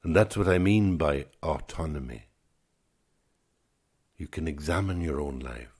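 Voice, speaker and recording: A man's voice: extremely low pitch, a little slow, darkish tone, breathy edge. A man in his sixties, a podcast host, talking alone in a reflective, musing way and often repeating words as he gathers his thoughts. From Ireland. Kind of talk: reflective solo podcast talk.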